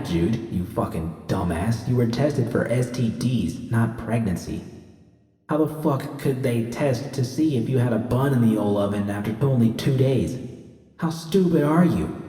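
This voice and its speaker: A man's voice: on the low side, dark tone, husky, dry recording. A man reading a scripted first-person story as an audiobook narrator.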